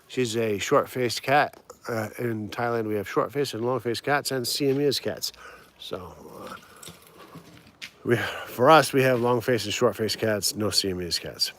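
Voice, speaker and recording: A man chats loosely to a live audience from outside, circling back and repeating himself as he goes. His voice sits in an ordinary middle pitch. He laughs easily between thoughts. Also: unhurried, fairly bright tone, a little hiss or hum. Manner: rambling, repeats words, laughs often. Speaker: live-stream host. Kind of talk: casual outdoor live-stream chat. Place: outdoors.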